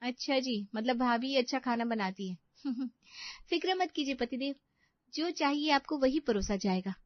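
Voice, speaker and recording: Woman acting a scheming, high-pitched young sister-in-law. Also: expressive, moderate pace, no echo, highs cut off. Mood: sugary, sly. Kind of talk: Hindi moral-story dramatization.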